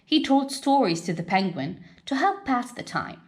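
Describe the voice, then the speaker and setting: A woman reading a children's picture book aloud as a storyteller, with expressive, dramatic delivery. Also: on the high side, normal speed.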